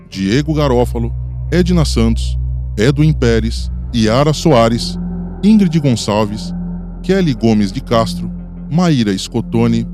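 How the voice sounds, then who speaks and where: A man with a Brazilian accent reading scripted credits as a radio announcer. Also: medium pitch. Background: music behind the voice.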